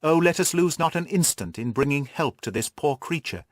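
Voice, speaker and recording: A man acts out an earnest young woman from an audiobook mystery. She is anxious yet resourceful, speaking with animated pitch in a dry, close recording. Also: moderate pace, dry recording. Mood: earnest, urgent. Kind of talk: audiobook character dialogue.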